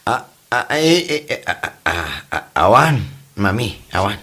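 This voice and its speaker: A man's voice: on the low side, sing-song, measured pace, bright, forceful tone, slightly rough, exaggerated voice. A man playing a meek, whiny, henpecked mama's boy husband in a comedy drama, stammering and exclaiming as he goes. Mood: flustered. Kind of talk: Ilocano comedy drama acting.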